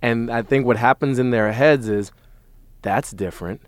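A man talks casually as a podcast host, in a medium-pitched voice with a very dry, close sound. He spins stories with animated, wry humor. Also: normal speed, dry recording. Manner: animated storytelling, wry and joking. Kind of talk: casual panel podcast chat.

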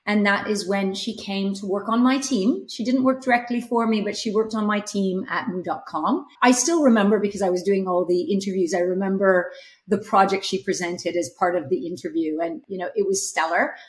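A woman in her fifties with a Canadian accent speaks as an interview guest. She is conversational and reflective, with an unhurried delivery and some filler words. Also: mid-range voice, low-quality recording. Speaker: guest, startup executive.

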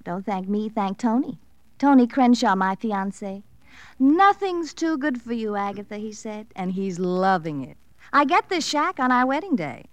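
Arch gushy society girl, coy and theatrical, highish voice, old radio drama. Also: very animated, moderate pace, no echo. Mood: smug, dreamy. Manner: breezy, theatrical, arch, gushing. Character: affected, gushing young society fiancée, playfully coy. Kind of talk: 1950s radio drama acting.

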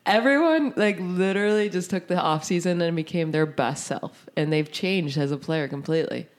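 Woman co-host, low-ish pitch, cold-raspy voice, teasing and quick to laugh. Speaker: podcast co-host. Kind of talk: casual fantasy football chat.

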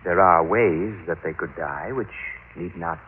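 Radio-play butler: low voice, outwardly deferential, quietly scheming, on a tinny old broadcast recording. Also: male voice, unhurried, a little hiss or hum. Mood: insinuating, scheming. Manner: deferential, insinuating. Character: servile, scheming butler, soft-spoken and coldly calculating. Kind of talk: old-time radio drama acting.

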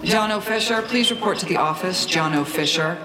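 A woman's voice: on the low side, brisk, close to an everyday voice, poor audio. An actress playing a harried middle-aged single mother who works as a school secretary, in naturalistic audio drama. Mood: polite, businesslike.

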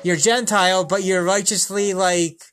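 An American man hosts a casual video call, speaking slowly and deliberately and often repeating himself. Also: high voice, steady tone, fairly bright tone, soft background music. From United States. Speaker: host.

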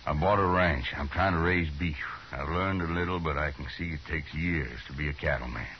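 An actor playing a proud, stubborn greenhorn cattle rancher from Alabama, blunt and brooding, in 1950s radio Western style. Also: male voice, rumbling low, a little fast. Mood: bitter, determined. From American. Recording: muffled, hissy 1950s radio recording.

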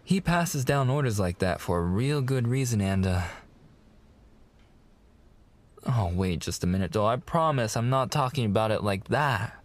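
A man's voice: medium pitch, lively intonation, moderate pace, close to an everyday voice. A young man playing a smitten, protective mafia fiancé in a boyfriend ASMR roleplay, with slangy, hesitant, reassuring wiseguy talk.

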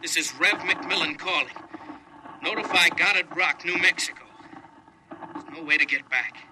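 A man's voice: fairly high pitch, moderate pace. An actor playing a stranded male astronaut calling desperately for rescue over a radio link, in 1950s radio drama style. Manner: urgent, broken-off distress phrases. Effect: radio transmission. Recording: noisy crackling old radio transmission.

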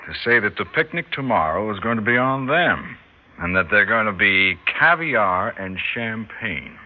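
A man's voice: on the low side, expressive, unhurried, slight background noise. An actor with an American accent playing a skeptical, practical suburban husband and father in a radio drama. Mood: matter-of-fact. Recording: slightly dull radio recording.

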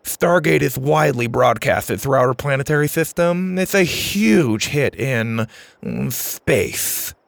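A man's voice: medium pitch, lively intonation, takes it slowly, gravelly, no room sound. A man voicing an eager, awkward boy who is bluffing, with a hesitant, improvised manner. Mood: nervous bluffing.

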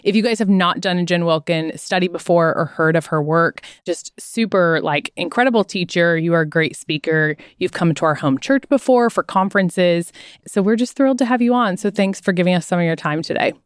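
A woman's voice: lowish voice, a little fast, smooth, no room sound, clean studio sound. A woman from Missouri co-hosting a podcast, playful and teasing as she asks questions. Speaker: podcast co-host. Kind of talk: casual podcast chat.

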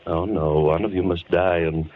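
An actor playing a soft-spoken, politely sinister killer in a 1940s radio horror drama. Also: male voice, low-pitched, fairly even intonation. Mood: musing, sinister. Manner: polite, unhurried, sinister, musing. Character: soft-spoken, menacing male killer keeping two girls captive. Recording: tinny, noisy old radio recording.

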